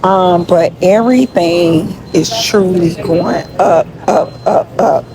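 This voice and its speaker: A woman's voice: lowish voice, slow, crowd noise. A woman talking casually to her followers in an emphatic, folksy way, as if showing them around. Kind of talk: casual complaint vlog to followers. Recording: noisy ambient background.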